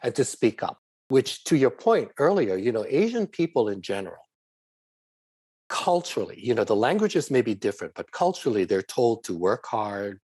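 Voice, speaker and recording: A man's voice: mid-range voice, very animated, normal speed, darkish tone, breathy edge, dry recording. A middle-aged man from Hawaii, a podcast guest, explaining his views at length in an earnest, conversational way. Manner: earnest, explanatory, speaks in long flowing runs. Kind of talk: conversational interview.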